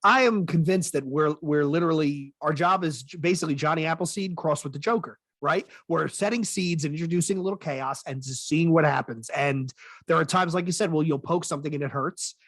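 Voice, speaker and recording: Male podcast host, conversational and self-correcting, raspy edge, very dry studio-like sound. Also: fairly high pitch, fairly quick, fairly bright tone, no room sound. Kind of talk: casual podcast discussion.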